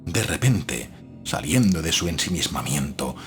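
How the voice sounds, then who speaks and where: An actor playing a troubled, doubting man who narrates a gothic horror audiobook in a measured, literary and dramatic way. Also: a bass voice, very animated, fairly bright tone, faint music behind, full character voice. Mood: horrified, reflective.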